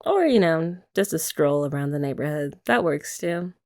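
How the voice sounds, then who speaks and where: A young woman in an ASMR girlfriend roleplay, talking softly and teasingly to the listener. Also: sits low, animated, moderate pace. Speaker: ASMR creator.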